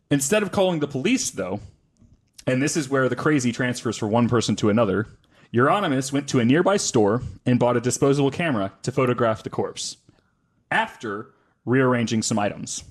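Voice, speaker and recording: A man in the role of podcast host tells a story in a relaxed, conversational way. He works from notes, stopping for wry asides and correcting himself as he goes, at an ordinary middle pitch.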